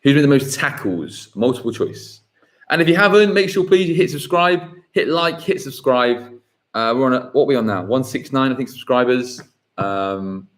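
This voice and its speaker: A man hosting a live football quiz, reading questions slowly and repeating them. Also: medium pitch. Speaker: quiz host.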